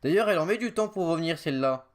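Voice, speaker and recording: A man's voice: a little high, lively intonation, racing, close to an everyday voice. A man playing a timid, awkward teenage schoolboy in love in an anime fan dub, hesitant and whiny. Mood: flustered.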